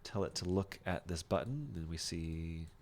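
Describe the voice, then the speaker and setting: A man teaching software in a casual screen-share tutorial, thinking aloud informally as he demonstrates each step. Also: low voice, normal speed, a little hiss or hum. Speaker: software instructor.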